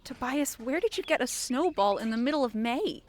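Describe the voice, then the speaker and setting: A woman's voice: high-pitched, expressive, moderate pace. A woman playing an earnest small-town reporter in an audio drama, straight-laced, with a dry, probing manner. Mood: exasperated. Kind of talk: scripted audio fiction acting.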